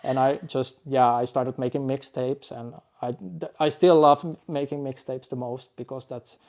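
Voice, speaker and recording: A man in his forties from Finland, a DJ guest who speaks in a halting, thoughtful way with frequent restarts. Finnish and Dutch are his first languages. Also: medium pitch, fairly even intonation, a little mellow. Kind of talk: podcast interview.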